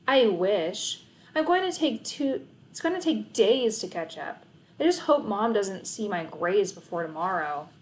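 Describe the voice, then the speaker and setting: A woman's voice: mid-range voice, sing-song, unhurried. A woman voicing a stressed, bossy, studious schoolgirl in an emphatic, exasperated manner for a storybook. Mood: exasperated, defensive.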